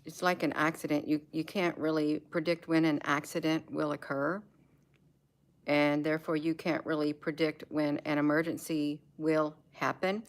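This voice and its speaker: Low-voiced American woman chatting casually. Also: flat delivery, moderate pace. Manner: repeats words and phrases for emphasis. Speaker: vlogger. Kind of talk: casual rambling chat, showing gadgets. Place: indoors at home.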